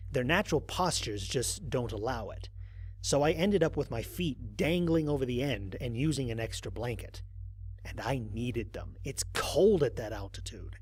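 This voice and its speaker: A man performs a human explorer reading his mission log in an audio drama. His voice is fairly high for a male and slightly rough, with very animated intonation. He reports methodically, with dry, wry formality and measured phrasing. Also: no room sound. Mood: wry, candid. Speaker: narrator. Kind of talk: scripted first-person audio drama narration.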